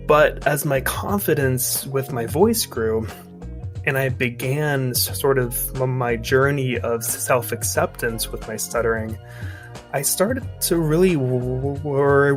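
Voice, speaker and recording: Twenties male, stuttering speech with sound repetitions, soft guitar music underneath. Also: medium pitch, slow. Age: 20s. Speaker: speech-language pathologist and YouTuber who stutters. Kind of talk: personal recorded testimony.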